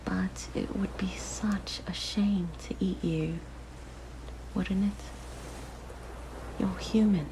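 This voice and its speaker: A woman voicing a lonely, curious, predatory siren in an ASMR roleplay, with a soft, breathy, mostly whispered voice and a hushed, musing, gently menacing manner. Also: medium pitch, fairly even intonation, takes it slowly, pauses often. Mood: hungry, menacing. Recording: faint background noise.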